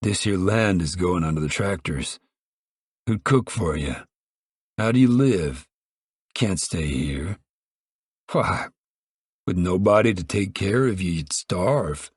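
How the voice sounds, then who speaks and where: A man voicing a weary middle-aged male farmer with a drawl, talking low and helplessly. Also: expressive, slow, pauses often, husky, no room sound, clean studio sound, heavily acted. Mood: pleading.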